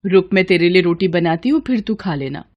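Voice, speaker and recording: A woman playing a gentle, self-sacrificing poor wife and mother in a cartoon story. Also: fairly low pitch, lively intonation, fairly quick, lo-fi sound. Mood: gentle, reassuring. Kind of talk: Hindi cartoon story voice acting.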